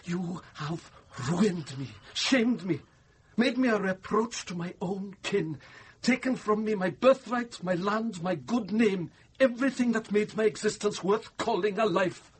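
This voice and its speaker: A man's voice: sits high, unhurried, breathy edge, heavily acted. A man playing a desperate, vengeful ruined young man in a radio drama, menacing and theatrical. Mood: bitter, vengeful. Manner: menacing, theatrical, impassioned delivery.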